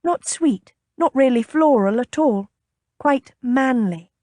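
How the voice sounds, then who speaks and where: A woman's voice: high-pitched, lively intonation, a little slow, darkish tone, dry recording, pitched above the performer's own voice. A woman voicing a chatty, impulsive young noblewoman in an audiobook, with a bubbly, coaxing, inquisitive manner. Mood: bright, enticing. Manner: bubbly, coaxing, earnest, prying.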